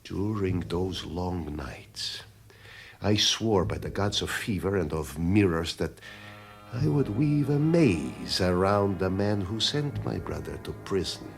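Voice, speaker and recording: Gravelly, very deep, menacing crime boss. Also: male voice, lively intonation, faint music behind, full character voice. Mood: brooding, haunted. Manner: theatrical, mock-courteous, deliberate, dropping into hushed intensity. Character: menacing, dandyish male crime boss, vengeful and courteous. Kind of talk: 1980s radio drama acting.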